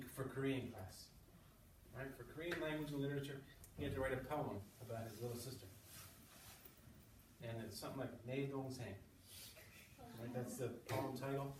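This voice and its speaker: A man teaching sixth-grade English, reading aloud and explaining as he goes, often checking in with short questions. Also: medium pitch. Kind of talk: classroom read-aloud with running commentary and questions to pupils. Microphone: at some distance from the speaker. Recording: roomy, distant mic with background noise.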